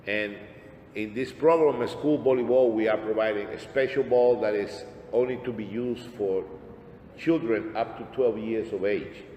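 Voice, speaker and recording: Low-voiced man in echoey hall. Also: a little mellow. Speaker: regional volleyball official. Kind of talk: public speech at press conference. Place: press conference room. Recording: echoey hall with faint noise.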